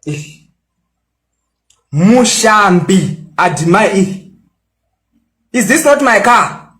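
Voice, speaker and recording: A man's voice: high-pitched, very animated, extremely slow, a bit bright, reverberant. A Zimbabwean man in his twenties, boastful and mocking as he rants back at a critic and slips English into his talk. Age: 20s. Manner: mixes English into local-language talk. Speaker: social media personality. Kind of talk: angry response rant.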